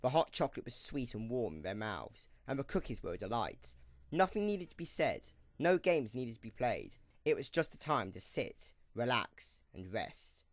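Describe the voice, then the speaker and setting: Animated male storybook narrator. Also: mid-range voice, normal speed, no room sound. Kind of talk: dramatic scripted story narration.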